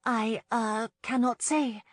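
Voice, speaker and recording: A woman's voice: a little high, steady tone, slow, no room sound, studio quality, voice pushed up. A woman voicing a nervous young countess who falters and stammers politely in an audiobook novel. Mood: flustered, uncertain. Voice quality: breathy murmuring, faltering. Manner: hesitant, stammering.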